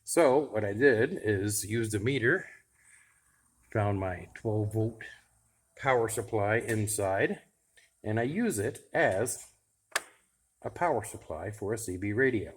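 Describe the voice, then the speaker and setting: A man with a somewhat low voice talks in an easygoing, unscripted way. He thinks aloud as he works, like a hobbyist showing his bench project to viewers. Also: slow, long pauses. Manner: relaxed and chatty, thinking aloud while tinkering. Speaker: radio hobbyist vlogger. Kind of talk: casual how-to vlog.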